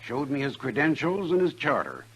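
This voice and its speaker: An actor playing an assured American small-town banker in a 1950s radio western, brusque and emphatic. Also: male voice, medium pitch, huge pitch swings, moderate pace, fairly bright tone, breathy edge, exaggerated voice, low-quality recording. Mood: dismissive.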